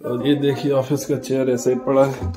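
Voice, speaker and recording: A man's voice: medium pitch, little pitch movement, normal speed, crowd noise. A young man casually narrating a home vlog into a handheld phone, chatty and showing viewers around. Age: young adult. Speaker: vlogger. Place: rooftop and rooms of a house. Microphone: handheld phone camera. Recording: vlog with loud music underneath. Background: loud background music.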